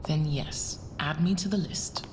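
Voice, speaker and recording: An actress playing a wary, wealthy smuggling boss in an audio drama, with a polished, guarded and condescending manner. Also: female voice, measured pace, in a whisper. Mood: polite, reserved. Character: wary, wealthy woman running secret smuggling ventures. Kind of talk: scripted audio drama acting. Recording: long reverb, clear rumbling background noise.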